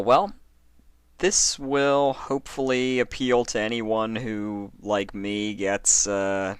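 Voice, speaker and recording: Casting: male podcast storyteller, deep voice, informal narration, close dry recording. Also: a bit bright, clean tone, dry recording. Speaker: podcaster and fan fiction reader.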